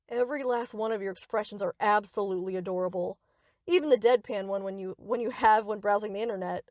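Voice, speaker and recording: A sweet young yandere best friend played by a woman talks to the listener in an audio roleplay, in a fairly high voice. She rambles affectionately and possessively in a cutesy way, recorded dry. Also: no echo, lightly acted. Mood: adoring, excited. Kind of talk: scripted ASMR roleplay, addressing the listener.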